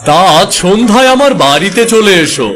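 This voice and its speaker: A man acting a genial village father in a cartoon. His voice is high for a man and very animated, with a friendly, homely way of talking. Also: a little fast. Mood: cheerful.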